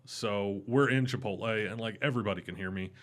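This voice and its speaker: A young adult man with a loud, carrying voice, telling stories casually and animatedly as a podcast guest. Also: fairly quick. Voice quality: loud voice that carries. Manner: animated, rising in pitch when excited. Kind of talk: casual storytelling interview.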